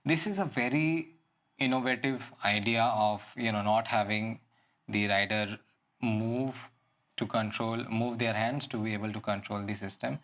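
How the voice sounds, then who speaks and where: Low-pitched man reviewing tech gear. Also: fairly even intonation, normal speed, fairly bright tone. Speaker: product reviewer. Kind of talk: unscripted app and gadget walkthrough.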